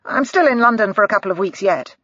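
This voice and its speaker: A woman voicing a polite, smooth-talking male doctor with a soft, coaxing manner, in a slightly dull, dry recording. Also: mid-range voice, animated, fast talker, no echo.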